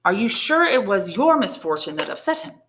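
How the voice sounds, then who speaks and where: A woman's voice: mid-range voice, big pitch swings, a bit bright, voice pushed up. A woman reading as a shrewd, learned old priest. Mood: shrewd, probing. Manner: deliberate, probing questions and measured explanations. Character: Faria, shrewd, learned old male prisoner priest, probing and kindly.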